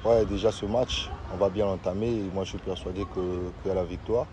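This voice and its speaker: A man in his twenties with a Congolese accent, a footballer answering casually and haltingly in an outdoor interview. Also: low-pitched, fairly even intonation, dull audio. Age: 20s. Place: outdoors by a hotel pool. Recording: clear outdoor traffic noise.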